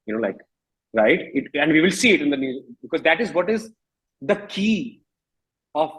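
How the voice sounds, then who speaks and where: A man's voice: a little high, very animated, normal speed, a bit bright, breathy edge, poor audio. A man in his thirties with an Indian accent, a musician speaking casually and emphatically in an interview, repeating phrases for stress. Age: 30s.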